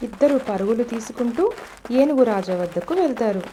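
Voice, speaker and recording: A woman narrating a children's cartoon story, speaking gently and expressively to the kids. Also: a little high, expressive, brisk, a little hiss or hum.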